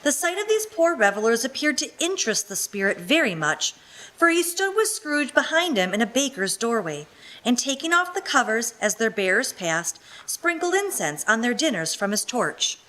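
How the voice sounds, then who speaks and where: A woman's voice: fairly high pitch, sing-song, normal speed, bright, forceful tone, low-quality recording. A woman narrating a scripted story as part of a live radio play.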